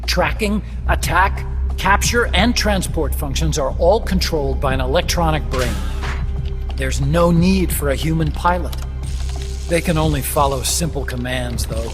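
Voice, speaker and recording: A male actor plays an eager, talkative man of science in a video game cutscene, with a fairly high-pitched voice. He lectures emphatically about his machines. His words ring through a long reverberant space over prominent music. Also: unhurried, natural voice. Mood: matter-of-fact explaining. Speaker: weapons engineer character. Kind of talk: dramatic game cutscene dialogue. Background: prominent background music.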